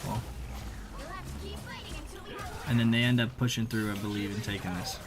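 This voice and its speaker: A man giving casual, slangy voice-over commentary on gameplay, conversational with animated reactions. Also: lowish voice, moderate pace. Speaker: gaming video creator.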